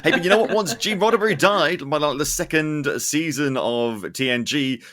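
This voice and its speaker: A man's voice: a little high, normal speed, fairly bright tone. A man hosting a casual interview, talking in a jokey, sweary way with bursts of mock outrage. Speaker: podcast host. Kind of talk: casual chat, interviewing guests.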